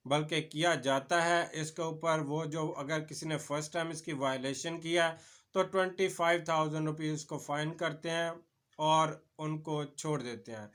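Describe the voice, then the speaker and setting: Pakistani guy, slightly high voice, lawyer explaining stuff to viewers, drops in english legal words. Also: fairly even intonation, normal speed, fairly bright tone. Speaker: lawyer giving legal lectures. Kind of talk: informal explanatory lecture.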